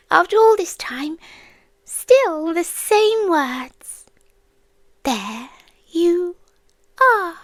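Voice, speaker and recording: Casting: British actress as ancient female yandere creature for ASMR roleplay; high, soft, hushed, tenderly menacing, very close and dry. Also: no echo, exaggerated voice. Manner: deliberate, teasing, hushed, with drawn-out pauses. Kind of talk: slow intimate ASMR roleplay, often dropping to whispers. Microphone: close microphone, dry.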